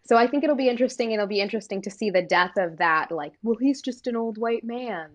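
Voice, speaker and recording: A woman's voice: on the high side, fast talker. A woman from Maine co-hosting a casual political talk, chatty and full of fillers. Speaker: co-host.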